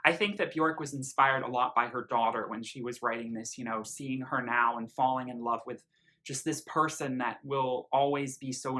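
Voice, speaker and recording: A man's voice: medium pitch, pushed and bright. A man giving a casual, effusive music review, speaking earnestly and leaning on emphasized words. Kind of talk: casual album review commentary with lyrics read aloud.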